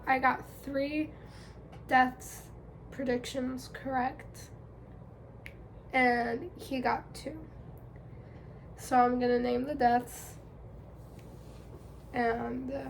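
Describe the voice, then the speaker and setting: A woman's voice: sits high, gravelly, a bit bright, slight background noise, poor audio. A young woman vlogging in casual chat, congested from a cold, chatty and rambling with fillers.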